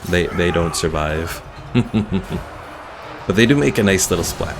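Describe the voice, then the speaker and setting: A man's voice: deep voice, animated, unhurried. A man doing casual, chatty gameplay commentary as a streamer and reading out viewer chat, with game music behind him. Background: video game music.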